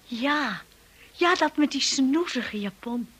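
An actress playing a timid, earnest young wife who tells her own story in a radio drama, with a husky, breathy voice. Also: high-pitched, animated, slow pace, a bit bright, heavily acted. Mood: hesitant, polite. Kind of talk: radio drama acting and first-person narration.

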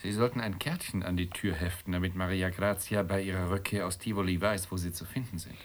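A man's voice: low-pitched, fairly even intonation, a little fast, close to an everyday voice. An actor playing an irritable, sarcastic husband in a radio play, blunt and needling in his delivery. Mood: brusque. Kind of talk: German radio play acting.

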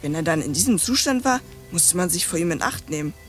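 A woman's voice: fairly low pitch, expressive, quick pace, soft background music, natural voice. Radio play: adult actress as brash young girl, precocious and scornful, bossy, slangy chatterbox. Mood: chatty, condescending. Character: cheeky, streetwise, precocious young French girl, bluntly scornful.

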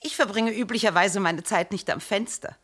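An actress playing a poised, sharp-tongued diplomat's wife who parries a police inspector with irony, in a radio play. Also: female voice, medium pitch, moderate pace, lightly acted. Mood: ironic. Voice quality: ironic, poised.

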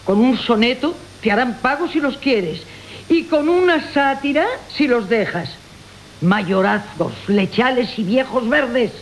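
High-voiced, sly Castilian older mother. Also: poor audio. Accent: Castilian Spanish. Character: sly greedy older mother coaching her daughter to fleece suitors. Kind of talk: 1980 Spanish radio theatre acting of classic farce. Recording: faint hiss of old radio broadcast.

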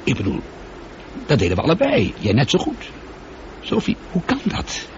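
A man's voice: mid-range voice, huge pitch swings, moderate pace, darkish tone. Prickly lonely spaceman, emphatic, muffled old radio play with faint noise. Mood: agitated, reasoning. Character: lonely, prickly male space traveller arguing with his computer. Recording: dull noisy old radio play recording.

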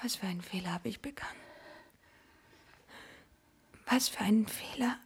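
Breathy, distraught young wife. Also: female voice, medium pitch, fast talker. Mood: tearful whisper. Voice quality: breathy, often dropping to whisper. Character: distraught, passionate young married woman in unhappy love. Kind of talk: intimate, emotional radio drama acting.

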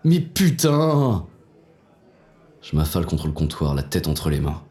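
An actor playing a bitter, world-weary police inspector in a noir audio drama, gruff and slangy as he narrates his own story. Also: big pitch swings, a little slow, mellow, heavily acted. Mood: irritated. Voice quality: very deep, gravelly. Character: jaded, hard-boiled male police inspector, bitter and shaken.

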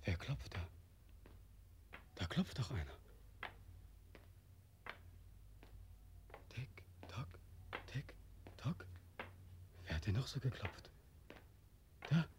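An actor playing a tormented, despairing young man back from war in a 1940s radio play, with anguished, rhetorical delivery and a husky voice. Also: mid-range voice, sing-song, slow pace, pauses often, in a whisper. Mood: terrified. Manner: anguished, rhetorical, swinging from murmur to cries. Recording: muffled vintage 1940s radio recording.

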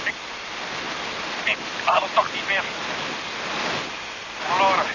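An actor playing an exhausted, desperate, breathless hero in a 1970s science fiction radio drama, forcing out broken phrases. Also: male voice, sits high, flat delivery, slow pace. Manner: gasping, broken, straining phrases. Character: exhausted, desperate hero urging his comrade to flee. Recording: muffled radio recording drowned in loud noise.

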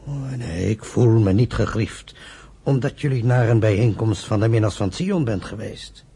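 A stern, wounded father in a radio drama. His low voice is very raspy and creaky, and he reproaches his sons with wry dignity. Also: warm tone. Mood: hurt, reproachful. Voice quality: very husky, gravelly. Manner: slow, wryly reproachful. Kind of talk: radio play acting.

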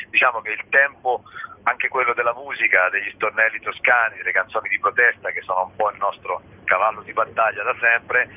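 A man speaking as an interview guest, explaining at length in a discursive way and pausing to search for words. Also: fairly low pitch. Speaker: theatre director, interview guest. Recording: narrow tinny phone line, clear background noise.

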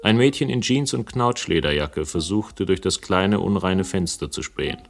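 A man's voice: deep voice, expressive, normal speed, gravelly, soft background music. An actor playing a wry, urbane investigator who narrates his case with literate irony in a German radio crime drama. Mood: dry, wry. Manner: ironic, literate, ornate wording.

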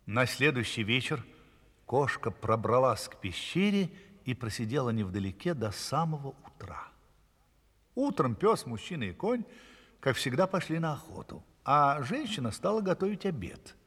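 A man telling a children's fairy tale as an expressive, theatrical narrator. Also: mid-range voice, normal speed, slightly rough, big-room sound. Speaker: storyteller, narrator.

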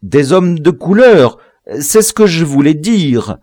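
Man acting a high-pitched, stammering, cowardly prisoner. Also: expressive, takes it slowly, a little mellow, no room sound, studio quality. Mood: anxious, protesting. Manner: stammering, humble, pleading. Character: cowardly, servile, trembling male colonial prisoner.

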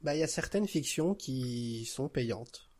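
A man's voice: medium pitch, expressive, a little slow, a little mellow. A man speaking as a podcast guest in casual, informal chat, explaining things simply. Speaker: podcast guest, audio fiction creator.

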